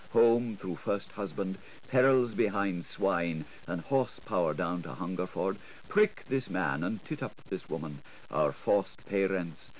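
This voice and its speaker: Guy narrating an audiobook, kinda deep, really lively up and down delivery, theatrical and declamatory. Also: slight background noise, low-quality recording. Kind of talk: literary audiobook reading, theatrical scripted narration.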